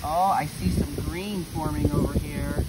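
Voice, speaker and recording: A woman's voice: low voice, fairly even intonation, measured pace. A woman teacher giving a hands-on demonstration to children and parents, exclaiming and coaxing with questions, recorded outdoors on a windy day. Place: outdoors in wind and sun.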